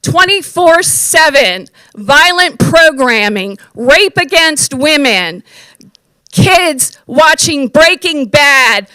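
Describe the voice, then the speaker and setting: A woman from the US East Coast, speaking loudly and emphatically as a public commenter at a county board meeting. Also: high voice, dry recording, low-quality recording. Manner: loud, emphatic, forceful delivery.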